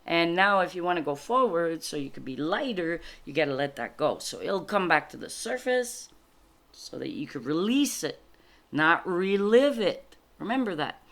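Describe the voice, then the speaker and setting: A woman with a fairly low voice, a native French speaker, talks through a spiritual card reading. She moves between reading aloud and informal commentary, reflecting as she goes in short, halting phrases. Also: very animated.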